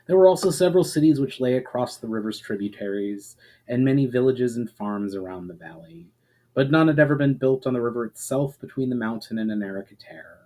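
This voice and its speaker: A man reading a fantasy story aloud as a narrator, in a measured, expressive storyteller's manner. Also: medium pitch, rough recording. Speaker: author reading fiction aloud.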